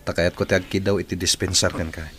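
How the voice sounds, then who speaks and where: A man's voice: sits low, flat delivery, quick pace, a bit bright, faint music behind. A man playing an envious, critical male teacher who pries into his colleagues' affairs in an audio drama. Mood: remorseful. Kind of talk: Ilocano audio drama acting.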